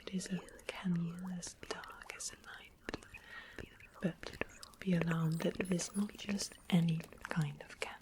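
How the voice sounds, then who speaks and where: A woman voicing a sweetly sly royal witch in a soft breathy whisper for an ASMR fantasy roleplay. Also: fairly low pitch, fairly even intonation, measured pace. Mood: grave, confiding. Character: sweetly sly royal witch trading rare magical items. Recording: clearly noisy close whispered recording.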